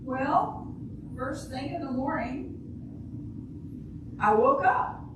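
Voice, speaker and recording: A woman's voice: high-pitched, very slow. An older woman in an amateur readers' theater playing a naive, blunt, literal-minded housewife and mother. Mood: stubborn, artless. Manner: literal-minded, plain, ungrammatical phrasing. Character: naive, blunt housewife and mother, matter-of-fact about shooting husband. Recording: distant, very echoey, noisy room.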